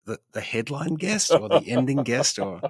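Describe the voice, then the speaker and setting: A male podcast host whose voice is hoarse and worn down to a rasp. He chats in a friendly, loose way and often restarts his sentences. The sound is close and dry, with no room around it. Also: mid-range voice, animated, moderate pace, no echo. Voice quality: raspy. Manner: friendly, chatty, stumbling restarts. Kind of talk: casual interview chat.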